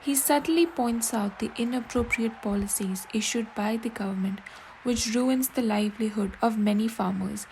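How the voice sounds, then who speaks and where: A woman's voice: on the high side, moderate pace, darkish tone, a little hiss or hum, poor audio. A young woman from India, a student steadily reading her written media critique. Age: young adult.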